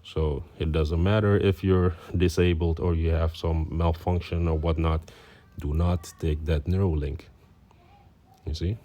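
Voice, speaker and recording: Deep-voiced Dutch man preaching. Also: level tone, measured pace, mellow. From Netherlands. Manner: earnest, peppered with "you know" and "you see". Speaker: religious commentator. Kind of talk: preaching commentary, reading aloud.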